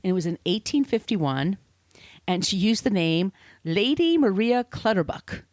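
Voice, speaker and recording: A woman's voice: medium pitch, sing-song, measured pace, a little mellow, dry recording. A woman podcast host telling a story in casual chat, informal and sweary, with conversational asides.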